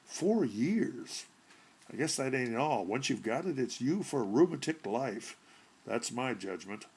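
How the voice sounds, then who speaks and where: A man voicing a brash, folksy burglar in a short story reading, with gravelly, husky voice and blunt, colloquial delivery. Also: mid-range voice, expressive, measured pace, darkish tone. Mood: chummy, boastful. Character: brash, folksy, slangy male burglar with rheumatism.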